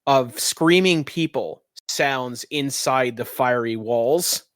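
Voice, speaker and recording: A man's voice: medium pitch, slow, a bit bright, dry recording, clean studio sound. A man narrating a tabletop roleplaying game as game master, improvising as he goes.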